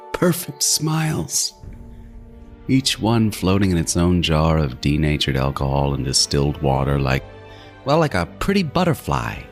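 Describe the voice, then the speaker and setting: A man's voice: low-pitched, heavily acted. A man playing a meticulous, gloating serial killer in a horror audio drama, savoring his words in a theatrical monologue. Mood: rapturous, gloating. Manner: theatrical, savoring, deliberate phrasing with sudden swings.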